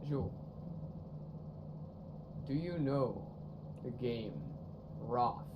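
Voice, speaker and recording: Young guy on stream, jokey and laughy, mid voice, clear hiss on his mic. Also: mid-range voice, very slow, muffled recording. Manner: animated, laughs often, playful sarcasm. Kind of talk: casual chat while gaming. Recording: noisy stream mic audio.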